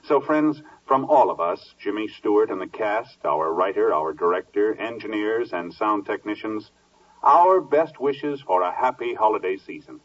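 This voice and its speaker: An American man reading scripted announcements formally and husky-voiced, in vintage 1950s radio style. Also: on the low side, lively intonation. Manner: formal, measured. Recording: muffled vintage radio broadcast, distant.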